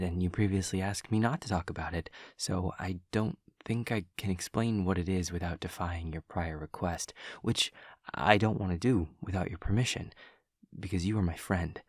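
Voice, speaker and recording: An awkward young demon student, acted by a man for an audio roleplay, with a low, husky voice recorded close and dry. He is earnest and painfully literal, choosing formal, precise words and pausing as he over-explains. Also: no echo. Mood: puzzled, earnest. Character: awkward, earnest, literal-minded male demon classmate and friend. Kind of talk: intimate audio roleplay acting.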